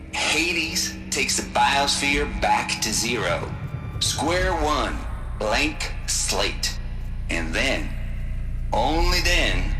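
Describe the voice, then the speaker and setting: A man acting an irreverent programmer in a video game, wry and theatrical as he shows off. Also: fairly low pitch. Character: irreverent, sardonic male programmer relishing his grim creation. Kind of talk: video game cutscene monologue. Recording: echoing, music underneath.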